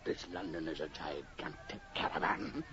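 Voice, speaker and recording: An actor playing a monstrous fat old gentleman in a radio horror drama, gloating and theatrical, muttering and chuckling. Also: very deep voice, fairly even intonation, moderate pace. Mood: scornful, pompous. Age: older. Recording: muffled, noisy old radio broadcast recording.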